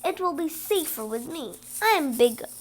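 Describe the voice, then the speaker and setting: A woman's voice: sits high, sing-song, moderate pace. A woman voicing a sly, boastful storybook bad boy with a cocky, coaxing manner.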